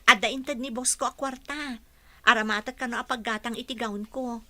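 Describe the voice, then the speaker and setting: Young female housekeeper, pert yet dutiful, bright higher voice, Ilocano radio serial. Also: fairly even intonation, moderate pace, no echo. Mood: earnest, affectionate. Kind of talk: Ilocano radio drama acting.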